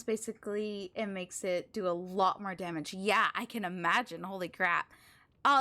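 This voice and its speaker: A woman live streaming a video game, chatting casually with viewers and thinking aloud in an expressive, exclamatory way. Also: mid-range voice. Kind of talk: casual chat with stream viewers while gaming.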